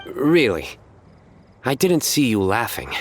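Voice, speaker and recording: An actor playing a solemn young man in a video game, formal, deadpan and earnest. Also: mid-range voice, takes it slowly, slight background noise. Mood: puzzled. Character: solemn, intense young man obsessed with his card game.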